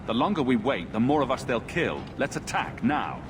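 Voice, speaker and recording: A man's voice: medium pitch, big pitch swings, normal speed, music in the background, natural voice. A man playing a bold adventurer leader in a video game, direct and questioning. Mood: urgent.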